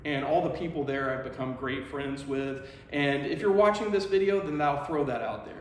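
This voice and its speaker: A man's voice: mid-range voice, normal speed, a little hiss or hum. A man talking casually to camera, presenting a club as one of its members. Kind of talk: casual promotional talk to camera. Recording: echoey, reverberant room.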